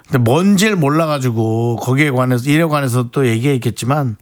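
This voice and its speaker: A man with a mid-range voice co-hosting a relaxed radio talk show. He tells little stories and keeps repeating a playful joke. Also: medium pitch, fairly quick. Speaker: radio co-host. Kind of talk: casual radio chat.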